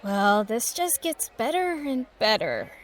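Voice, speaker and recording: A woman's voice: fairly high pitch, very animated, takes it slowly. A woman playing an exasperated, determined schoolgirl with an emphatic, sarcastic manner in an audio drama. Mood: dismayed. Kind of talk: scripted children's audio drama.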